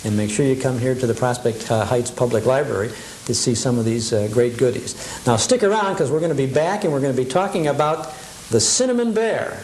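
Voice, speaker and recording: A man presenting and interviewing as a TV host in a casual, chatty, halting way. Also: medium pitch, expressive, darkish tone. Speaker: host of a nostalgia TV show. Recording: noticeable background noise.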